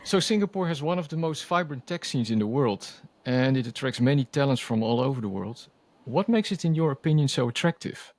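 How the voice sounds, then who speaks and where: Male podcast host with an average-pitched voice who asks prepared questions politely. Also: animated, brisk, darkish tone. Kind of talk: podcast interview.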